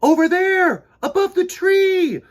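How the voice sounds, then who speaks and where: Excitable young storybook character male reader performing, high and urgent, exclaiming in wonder. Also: takes it slowly, heavily acted. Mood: excited alarm.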